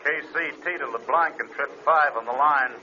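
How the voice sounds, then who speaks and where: An actor playing a no-nonsense airfield radio dispatcher, clipped and businesslike, in old-time radio drama style. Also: male voice, on the high side, normal speed, bright, forceful tone. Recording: muffled, hissy old radio recording.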